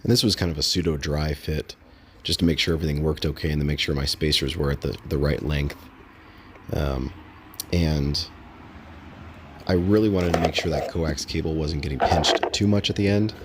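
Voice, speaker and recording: A man casually narrating a how-to vlog in a halting, unscripted, matter-of-fact way. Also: rumbling low, steady tone, noisy background. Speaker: host of a DIY install vlog.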